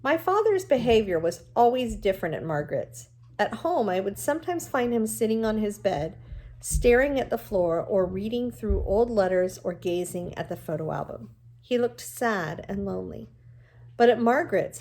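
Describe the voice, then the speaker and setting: A woman reading a novel aloud like a teacher, in an expressive storytelling manner with explanatory asides. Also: mid-range voice, slight background noise.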